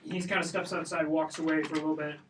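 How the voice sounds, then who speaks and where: A man with a fairly high voice acts as game master, improvising narration in a casual way. He sounds some way from the mic, with clear noise around him. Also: little pitch movement, fast, recorded from a distance.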